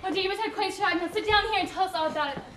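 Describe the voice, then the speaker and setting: A woman's voice: extremely high pitch, rapid-fire, loud music behind the voice. A woman playing a bossy, dryly sarcastic lady in a stage murder farce. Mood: bossy concern. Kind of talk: comedic stage play acting. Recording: echoey stage, far mic, loud noise.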